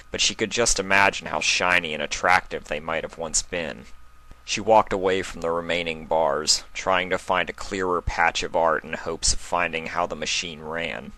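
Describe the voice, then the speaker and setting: A man reads a story aloud as a dramatic narrator. His voice is very deep, with a bright, forceful edge that carries the scripted prose. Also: poor audio. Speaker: narrator, fan fiction reader.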